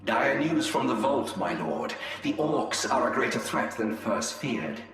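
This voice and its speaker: Sly Eldar schemer, cavernous echo. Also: male voice, medium pitch, sing-song, a bit bright. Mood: grave. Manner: measured, grandiose, insinuating. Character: sly male Eldar schemer, cold, haughty spymaster. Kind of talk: video game cut-scene acting. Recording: cavernous echo with long reverb.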